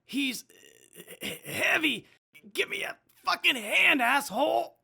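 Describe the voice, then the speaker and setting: Scratchy junkie raider henchwoman, croaky and high, halting, grumpy, swears a lot. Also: big pitch swings, bright, forceful tone, exaggerated voice. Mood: strained, irritable. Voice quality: raspy, strained. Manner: halting, grunting, irritable. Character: dim, foul-mouthed junkie raider underling. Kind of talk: post-apocalyptic audio drama acting.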